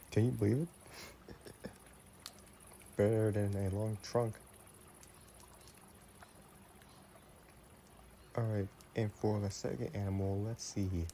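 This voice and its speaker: A man playing an affectionate, playful vampire boyfriend in an intimate roleplay audio, chatting in a gentle, teasing way. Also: fairly low pitch, fairly even intonation, unhurried, pauses often, a little hiss or hum. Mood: playful, chatty.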